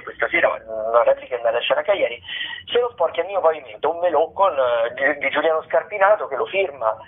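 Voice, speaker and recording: A man giving a radio roundup of theatre listings, hesitant, with many fillers and restarts. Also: a little high. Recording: tinny phone line.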